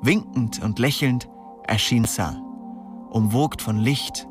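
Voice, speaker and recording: A man's voice: mid-range voice, slow, music in the background, natural voice. An actor playing a wry, streetwise young man narrating his own story in a radio drama. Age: young adult.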